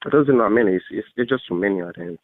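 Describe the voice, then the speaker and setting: A man's voice: lowish voice, racing, dry recording. A man talking earnestly on the telephone in a rambling, repetitive way with nonstandard grammar, over a tinny narrow line. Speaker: call recipient, student. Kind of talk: earnest phone conversation.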